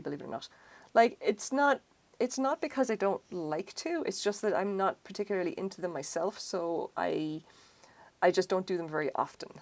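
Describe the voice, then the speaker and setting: A middle-aged woman with an Irish accent, chatting casually and rambling while she crochets on a live stream. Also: on the low side. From Ireland. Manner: chatty, self-deprecating, rambling asides.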